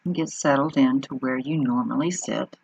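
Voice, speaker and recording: A woman leading an unscripted guided meditation in an unhurried manner, pausing between short phrases. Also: a bass voice, gravelly, a little mellow, slightly rough, no room sound, low-quality recording.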